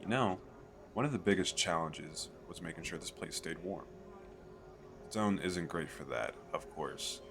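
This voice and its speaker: A man playing an eager, chatty fantasy merchant in an audio roleplay, talking the listener through a sales pitch in a hesitant, rambling, persuasive way. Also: expressive, a little slow. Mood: proud, enthusiastic. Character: eager, chatty male fantasy merchant selling magical crystal castles.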